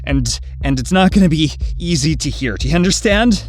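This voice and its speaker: A man playing an anxious, guilt-ridden adoptive father in an audio drama, halting and stammering with earnestness. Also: fairly high pitch, lively intonation, natural voice. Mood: earnest, anxious. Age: middle-aged.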